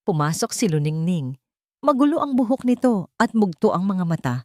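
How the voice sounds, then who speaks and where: A woman narrating a romance audio drama in a measured, grave storytelling manner. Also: medium pitch, very animated, a little mellow, dry recording, clean studio sound.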